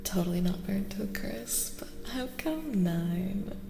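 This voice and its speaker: Soft-spoken woman with heavy vocal fry. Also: medium pitch, animated, music in the background. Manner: unhurried, often dropping into whispers; casual, playful, reacting to chat. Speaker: livestream host. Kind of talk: soft-spoken ASMR livestream chat. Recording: roomy echo, faint background noise.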